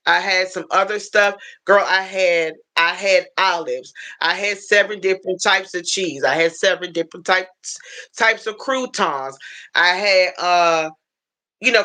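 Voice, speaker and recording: Bright-voiced American woman, chatting live. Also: medium pitch. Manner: animated storytelling, repeats phrases, acts out quotes. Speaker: livestream host. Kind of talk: casual livestream chat.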